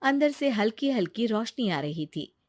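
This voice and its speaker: A woman's voice: a little high, big pitch swings, a little slow, darkish tone, no room sound, studio quality. A woman narrating a scripted story as its storyteller.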